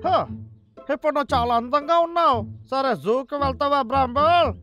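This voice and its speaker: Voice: high-pitched, a little fast, bright, forceful tone, dull audio, soft background music. A voice actor playing a short, hot-tempered male hunter who scolds and boasts in a dubbed children's cartoon. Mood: triumphant, taunting.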